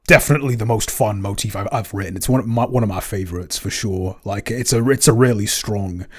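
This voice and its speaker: A man's voice: on the low side, a little fast. A man co-hosting a casual podcast, talking hesitantly with many ums and restarts. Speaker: podcast co-host and composer.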